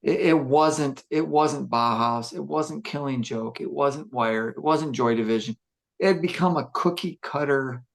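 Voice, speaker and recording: Gruff male musician, mid-pitched, unhurried podcast storyteller who circles back and restarts. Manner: reflective, rambling storytelling with fillers and restarts. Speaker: musician discussing his band. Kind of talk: casual podcast chat.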